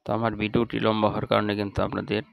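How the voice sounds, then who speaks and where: A man's voice: fairly low pitch, monotone, rapid-fire, vocal fry. A man with a Bangladeshi accent narrating a step-by-step repair tutorial in a plain, instructional way. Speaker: electronics repair channel host.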